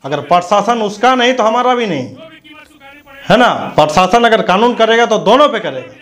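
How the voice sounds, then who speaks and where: A man's voice: high voice, animated, moderate pace, a bit bright. A man delivers a combative political rant to camera on a lapel mic, scornful, abusive and confrontational. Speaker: news commentator.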